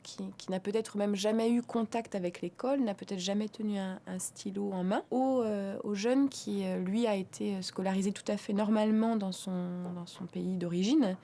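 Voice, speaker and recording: A woman teacher speaks in an interview, mid-pitched and mellow, with a relaxed timbre. She talks haltingly, stopping often on fillers and starting her phrases over as she explains. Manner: hesitant, frequent filler pauses and restarts.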